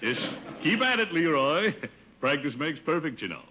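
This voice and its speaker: Pompous uncle with swooping voice. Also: male voice, on the high side, big pitch swings, moderate pace. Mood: fatherly, encouraging. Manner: pompous, self-satisfied, hearty. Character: pompous, jovial middle-aged uncle and water commissioner. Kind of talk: 1950 radio comedy acting. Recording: dull old radio broadcast, faint noise.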